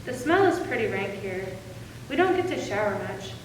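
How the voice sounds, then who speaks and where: A woman's voice: mid-range voice, sing-song, moderate pace, lightly acted. A woman playing a trusting, loyal young sweetheart of a soldier in a readers theater drama. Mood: subdued. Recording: echoey hall from far off, clear noise.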